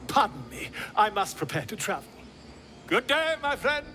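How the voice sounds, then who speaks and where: An actor playing a pompous, excitable middle-aged scholar in a video game, with florid, theatrical delivery. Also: male voice, high voice, normal speed, slight background noise, heavily acted. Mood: polite, brisk. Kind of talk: video game character acting.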